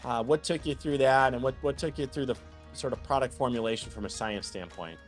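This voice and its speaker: A man's voice: medium pitch, a little fast, faint music behind. A man hosting a podcast interview, upbeat and chatty with frequent fillers. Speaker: podcast host.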